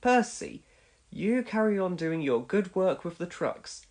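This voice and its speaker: A man voicing a calm, kind, dependable old steam engine in a children's storybook, gentle and orderly. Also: sits high, measured pace, exaggerated voice. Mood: calm, reassuring.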